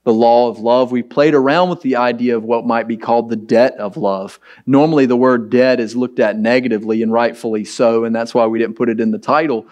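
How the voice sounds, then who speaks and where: Hoarse pastor, raspy low voice, earnest and chatty sermon. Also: male voice, steady tone, a little fast, hardly any pauses, darkish tone, muffled recording. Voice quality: raspy, deep. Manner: conversational, earnest, emphatic. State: hoarse from preaching late the night before.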